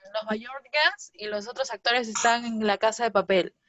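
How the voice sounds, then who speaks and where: A woman's voice: a little high, normal speed, fairly bright tone, slight background noise. Peruvian woman interviewer, dull lo-fi sound. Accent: Peruvian Spanish. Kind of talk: casual interview chat.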